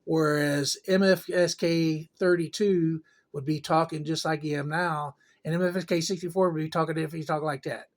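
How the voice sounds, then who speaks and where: A man's voice: fairly high pitch, fairly even intonation, moderate pace, hardly any pauses. A man giving a casual hands-on tech tutorial in a folksy, rambling way with informal grammar. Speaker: tutorial presenter.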